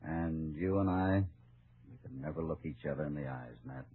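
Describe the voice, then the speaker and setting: A muffled old radio recording carries a steely American cowboy lawman. His voice is very low, and he speaks with grave, measured firmness. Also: a little hiss or hum. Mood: grave, regretful. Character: calm, steely cowboy lawman hero of a western. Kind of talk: 1950s American radio western acting.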